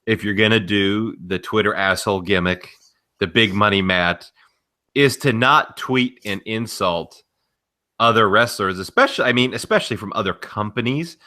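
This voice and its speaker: A man's voice: fairly low pitch, normal speed, pushed and bright. A man co-hosting a podcast, giving casual commentary with a dry, wry delivery. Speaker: podcast co-host. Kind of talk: casual commentary, reading out tweets.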